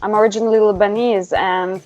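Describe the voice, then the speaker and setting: A woman's voice: mid-range voice, steady tone, fairly quick, over music. Hesitant woman on a dull call line. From Canada. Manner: hesitant, many fillers and restarts. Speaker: singer-songwriter guest. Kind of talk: casual interview chat. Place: home, remote call. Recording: slightly dull lo-fi audio.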